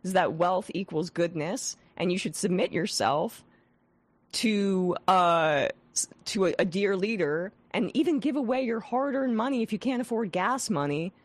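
A woman hosting a political talk show. She has a head cold with some vocal fry and talks in a scathing, animated way with frequent restarts. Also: mid-range voice, normal speed, dry recording. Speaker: host.

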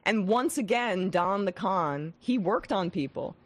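A woman hosting a political talk show. She has a head cold with some vocal fry and talks in a scathing, animated way with frequent restarts. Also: medium pitch, measured pace, slight background noise. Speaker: host.

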